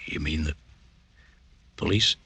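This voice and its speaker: Bitter, husky, forceful factory man. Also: a bass voice, slow pace, heavily acted. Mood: alarmed. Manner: aggrieved, blunt, ungrammatical working-man speech. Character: bitter, resentful working-class male factory partner. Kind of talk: 1950s radio detective drama acting. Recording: husky-sounding old radio broadcast.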